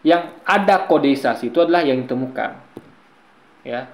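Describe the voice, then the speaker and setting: A man's voice: medium pitch, brisk, echoey room. A man giving argumentative commentary on a video, lecturing his viewers and often calling them guys.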